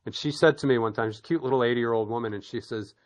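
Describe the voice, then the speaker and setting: A man's voice: mid-range voice, very fast. A middle-aged man hosting a casual conversation, rambling with repeated words and restarts, laughing easily. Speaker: podcast host. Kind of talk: casual chat.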